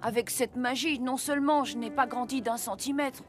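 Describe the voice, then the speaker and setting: Woman playing a high-voiced, earnest little boy. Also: steady tone, normal speed, close to an everyday voice. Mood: remorseful. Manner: earnest, childlike. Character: earnest, wide-eyed young boy, apprentice Santa. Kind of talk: French children's cartoon acting. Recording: music under the voice.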